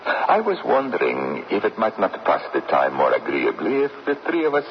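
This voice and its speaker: An actor playing a courteous, measured middle-aged father who hosts dead guests in an old radio drama. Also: low-pitched, huge pitch swings, heavily acted. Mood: modest, polite. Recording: dull, noisy old radio broadcast.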